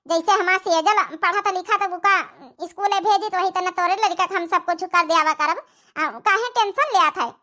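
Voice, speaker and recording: A woman's voice: very high-pitched, normal speed, bright, forceful tone. A woman voicing a plain-spoken, obliging but feisty village daughter-in-law in a comic cartoon, with an Awadhi accent. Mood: reassuring.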